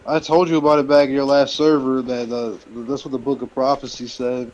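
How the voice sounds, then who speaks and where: A man's voice: mid-range voice, flat delivery, fairly quick, a little mellow, muffled recording. A man in casual banter during a video game, slipping into mock-prophetic storytelling. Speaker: gamer in a group let's play.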